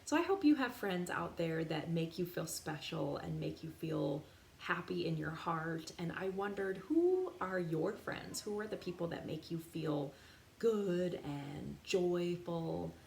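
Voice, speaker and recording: A woman reads a storybook to young children with lively, swinging intonation and a mid-range voice. The room is noticeably echoey, with clear background noise. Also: fairly low pitch, measured pace. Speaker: children's story reader, teacher. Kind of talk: expressive read-aloud for young children. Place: indoor room.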